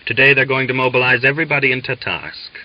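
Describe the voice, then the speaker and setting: A man's voice: medium pitch, fast talker, dull audio, pitched above the performer's own voice, natural voice. A man voicing a hot-headed, impatient young Cossack revolutionary in an audiobook, with urgent, snappish, goading delivery. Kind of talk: dramatised audiobook dialogue.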